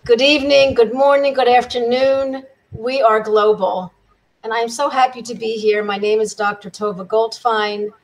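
A woman's voice: on the high side, little pitch movement, normal speed, low-quality recording. Host, woman in her sixties, welcoming, opens the show on a video call. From Israel. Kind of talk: hosting a video interview.

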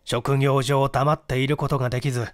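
A man's voice: mid-range voice, little pitch movement, fairly quick, no echo, clean studio sound, voice pushed down, natural voice. A man voicing a polite, eager private detective in an audiobook, deferential, formal and earnest. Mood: deferential, solicitous. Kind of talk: audiobook character dialogue.

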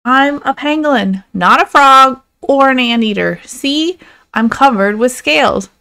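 A woman's voice: high-pitched, unhurried, sharp tone, clear, resonant tone, full character voice. A woman voicing an earnest, exasperated pangolin who insistently corrects others in a read-aloud storybook. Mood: patient, insistent. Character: exasperated, earnest pangolin trying to explain himself.

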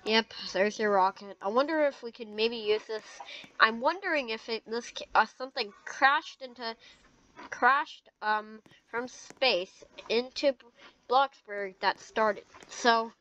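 Female, high-pitched and unhurried, hesitant improvised roleplay chat as a game player. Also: pushed and bright, highs cut off, slight background noise. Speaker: player in a Roblox roleplay video.

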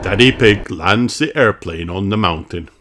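A man's voice: lowish voice, unhurried, background noise. A man reading a children's storybook aloud as narrator. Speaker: storybook reader.

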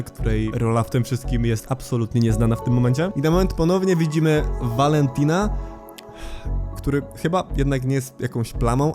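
Male video host narrating over music. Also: medium pitch. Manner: casual, colloquial asides between explanations. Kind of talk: narrated recap and commentary on a horror series.

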